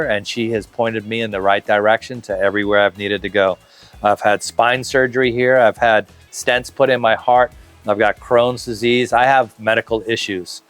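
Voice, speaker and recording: A man in his fifties, speaking casually and at length in an interview, with fillers and restarts. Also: medium pitch. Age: 50s. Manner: relaxed, talkative, lots of fillers and restarts. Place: outdoor covered patio, tropical garden.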